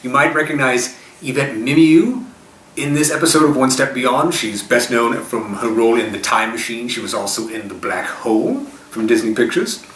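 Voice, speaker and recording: A man's voice: mid-range voice, normal speed, fairly bright tone. Man hosting, roomy and distant. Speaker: host of retro TV show. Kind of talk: casual film-trivia presenting. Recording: roomy, distant mic with faint noise.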